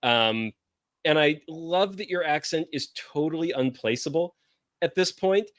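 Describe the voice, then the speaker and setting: A man hosting a casual chat, enthusiastic and agreeable, quick to chime in. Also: a little high, animated, a bit bright, dry recording, studio quality. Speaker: podcast host.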